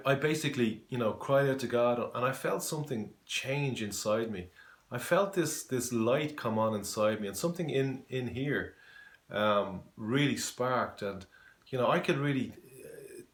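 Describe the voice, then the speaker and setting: A man in his forties from Dublin with a Dublin Irish accent, giving an earnest personal faith testimony, halting with many fillers and restarts. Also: mid-range voice. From Ireland. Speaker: testimony giver. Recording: echoey room.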